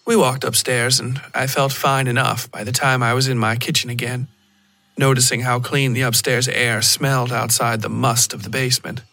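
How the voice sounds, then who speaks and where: A man's voice: mid-range voice, little pitch movement, moderate pace, creaky, sharp tone, no room sound. A man reading a scripted first-person horror story as a podcast narrator.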